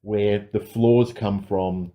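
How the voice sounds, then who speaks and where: A man with a lowish, dark and mellow voice gives a casual film review as a podcast co-host. His delivery is measured and considered. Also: fairly even intonation.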